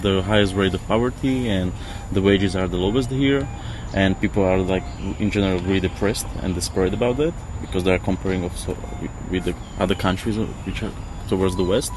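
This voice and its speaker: A man's voice: low voice, brisk, few pauses. A man who is not a native English speaker, giving a reflective interview outdoors and searching hesitantly for words. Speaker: interview guest. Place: outdoors on a river island. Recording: clearly noisy outdoor field recording.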